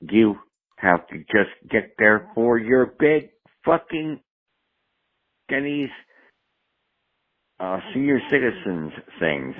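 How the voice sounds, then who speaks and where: Low raspy guy rambling and swearing on a tinny phone voicemail. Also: big pitch swings, slow pace, long pauses. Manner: rambling, profane, jumps between topics. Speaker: caller leaving a voicemail.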